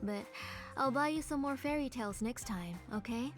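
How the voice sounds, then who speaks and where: A woman as a gentle, higher-voiced game mother. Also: animated, moderate pace, faint music behind, natural voice. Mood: caring. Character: caring, gentle mother of a frail young girl. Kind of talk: video game voice acting.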